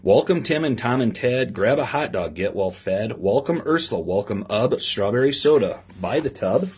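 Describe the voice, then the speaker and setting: Expressive man reading a children's story. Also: medium pitch, normal speed. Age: middle-aged. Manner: expressive sing-song reading, simple instructions. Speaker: police lieutenant reading to children. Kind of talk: read-aloud story time with asides to young listeners. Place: small office, indoors. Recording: clear background noise with clicks.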